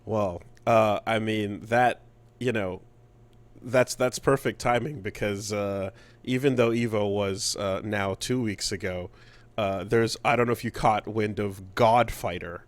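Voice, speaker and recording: A man's voice: on the low side, fairly even intonation, measured pace, no echo. A man co-hosting a video game podcast, chatting casually and acting out what he describes. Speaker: podcast co-host.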